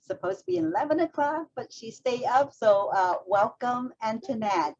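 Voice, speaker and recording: A woman hosting an interview, introducing her guest effusively with many fillers and halting phrases. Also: on the high side, animated, dull audio. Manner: warm, effusive, hesitant with fillers. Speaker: host.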